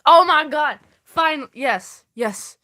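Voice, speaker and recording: Bright-voiced young woman, slow and self-mocking. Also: high-pitched, lively intonation. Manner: self-mocking, swears, laughs often, breaks off mid-sentence. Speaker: streamer reading her old fan fiction. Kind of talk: casual livestream reading with asides.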